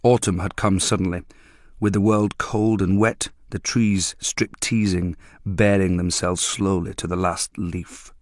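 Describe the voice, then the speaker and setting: Low-voiced man narrating a literary story. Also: steady tone, measured pace, no room sound, clean studio sound.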